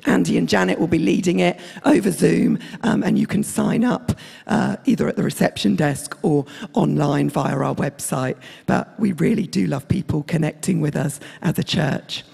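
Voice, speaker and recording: A woman in her thirties with a hoarse, croaky voice, giving friendly, rambling church notices with many fillers into a handheld mic on a hall stage. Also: on the low side, normal speed, warm tone. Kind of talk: casual announcements. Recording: long hall reverb.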